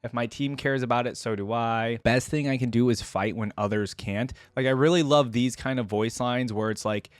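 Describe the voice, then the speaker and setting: A man's voice: mid-range voice, moderate pace, few pauses, clear, resonant tone, no echo. A man hosting a reaction video, talking casually and enthusiastically in a rambling, conversational way. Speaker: YouTube reactor, host.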